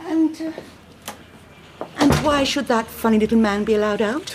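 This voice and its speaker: A woman's voice: a little high, moderate pace, background noise, close to an everyday voice. An actress playing a haughty, entitled aristocratic lady in a radio drama, imperious, with an upper-class English accent. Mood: disdainful.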